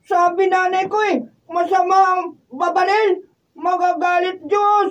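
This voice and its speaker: An actress with a Filipino accent playing a frantic, innocent, childlike youngster who pleads in a radio drama. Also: female voice, very high-pitched, flat delivery, measured pace. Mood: frantic pleading. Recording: dull, noisy, distant studio camera recording.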